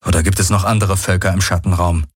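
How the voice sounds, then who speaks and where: Man doing an anxious, croaking young explorer. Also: low voice, level tone, no room sound, studio quality. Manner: tense, croaking, hurried.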